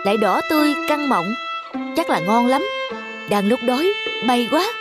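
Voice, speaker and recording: A woman voicing a weary, stubborn and foolish male traveller in an animated cartoon. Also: fairly high pitch, moderate pace, close to an everyday voice. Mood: hungry, eager. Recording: loud music under the voice.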